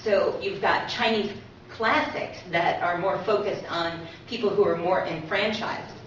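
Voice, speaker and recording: A woman's voice: medium pitch, fairly even intonation, measured pace. A middle-aged woman giving an informal public lecture, explaining in a conversational way and often checking in with "right?". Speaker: co-presenter, university teacher. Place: indoor talk room. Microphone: distant from speaker. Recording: echoey room, distant muffled mic, faint noise.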